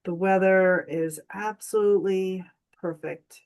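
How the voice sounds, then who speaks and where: Meditation guide: woman, lowish voice, very slow delivery, gentle and soothing, generous pauses. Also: fairly even intonation.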